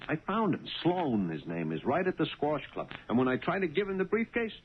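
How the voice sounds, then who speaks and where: An actor playing an excitable, stubborn cab driver and husband in a 1970s radio drama, stammering and insistent. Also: male voice, on the high side, brisk. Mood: excited, stubborn. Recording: noisy narrow-band old radio broadcast.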